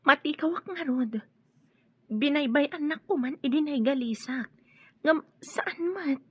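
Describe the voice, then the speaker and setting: A woman's voice: sits high, measured pace, no echo. A woman playing a wary, fearful young woman torn by a family feud in a radio drama. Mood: uneasy. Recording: muffled, lo-fi radio drama audio.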